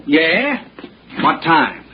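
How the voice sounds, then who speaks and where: A man's voice: a little high, very animated, extremely slow, noisy background, full character voice. An actor playing a skeptical, sarcastic policeman in a 1960s radio drama, badgering with needling questions. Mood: sarcastic, mocking. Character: skeptical, sarcastic male cop, needling and blustering. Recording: muffled old radio broadcast.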